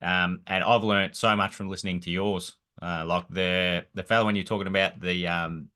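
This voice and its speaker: A man hosting a podcast interview, with a deep, fairly monotone voice. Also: normal speed. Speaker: podcast host. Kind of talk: casual interview.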